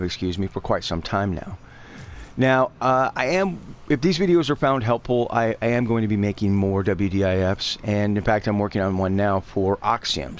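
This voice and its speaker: A man's voice: lowish voice, slight background noise. A man with a stuffy voice from allergies, talking through a game guide in a casual, rambling way that is full of fillers and self-corrections. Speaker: gaming guide creator. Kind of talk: casual game tutorial narration.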